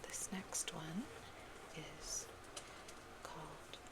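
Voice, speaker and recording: A woman gives a slow, gentle ASMR poetry reading in a breathy, intimate whisper. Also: low-pitched, steady tone. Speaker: ASMR roleplay host reading love poems. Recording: loud ambient noise, crackling fireplace ASMR sounds. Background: fireplace ambience, scratching and tapping.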